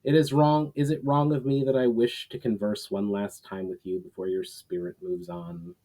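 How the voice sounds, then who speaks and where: A man reads the part of an ancient guardian in a fantasy tale, a grave and forgiving old mentor. The voice is low and mellow, with solemn, deliberate, formal phrasing. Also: little pitch movement, natural voice, poor audio. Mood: gentle.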